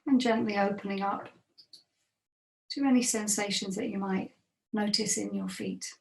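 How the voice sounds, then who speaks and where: A woman meditation teacher leading a guided body scan in a gentle, unhurried manner with long pauses. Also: mid-range voice, fairly even intonation, vocal fry. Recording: roomy, echoing sound.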